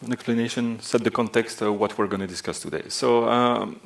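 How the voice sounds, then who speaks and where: A man's voice: mid-range voice, steady tone, a little fast, gravelly. A Belgian man with a French accent giving a technical talk on a conference stage, hesitant, with many filler sounds. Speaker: conference speaker. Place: large conference hall stage.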